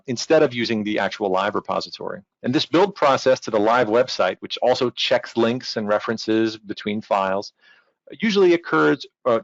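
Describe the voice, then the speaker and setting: A man's voice: mid-range voice, no echo. A man giving an informal, explanatory technical presentation online. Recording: dull lo-fi online-call audio.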